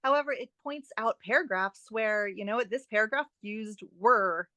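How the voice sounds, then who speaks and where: A woman's voice: on the high side, normal speed, sharp tone, dry recording. A woman guest on an interview, an author and editing expert explaining writing tips conversationally, with an emphatic, instructive manner.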